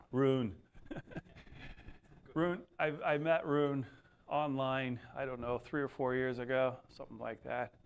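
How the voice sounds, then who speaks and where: A man introducing a guest speaker at a gathering in a chatty, folksy way with some restarts. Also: mid-range voice. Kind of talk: spoken introduction.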